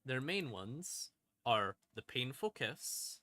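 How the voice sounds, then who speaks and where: Slow-talking man with a bright, forceful voice. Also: mid-range voice, big pitch swings, dry recording. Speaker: streamer. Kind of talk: casual explanatory talk on fantasy game lore. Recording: music behind the voice. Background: creepy ambient music.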